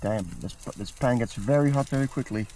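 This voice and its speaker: A man's voice: medium pitch, fairly quick, mellow, slight background noise, poor audio. A man chatting casually as he vlogs from an outdoor winter camp. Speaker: outdoor vlogger. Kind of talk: casual camping vlog chat.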